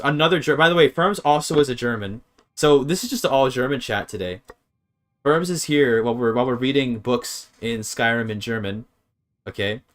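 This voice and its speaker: A man casually streaming a game, thinking aloud as he reads his chat and works through grammar. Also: medium pitch. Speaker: streamer learning a language. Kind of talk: casual live-stream commentary.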